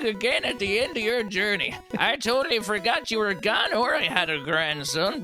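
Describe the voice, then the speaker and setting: A game master playing a grand old professor in an audio role-play game, raised in pitch and slowly theatrical. The announcements to a young trainer are dramatic, with music underneath.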